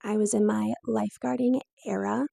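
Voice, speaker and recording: A woman's voice: medium pitch, steady tone, moderate pace, gravelly, dark tone, slight background noise. A woman in her twenties with a weak, strained, breaking voice, telling her own story to camera in a candid, lightly self-mocking way. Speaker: vlogger.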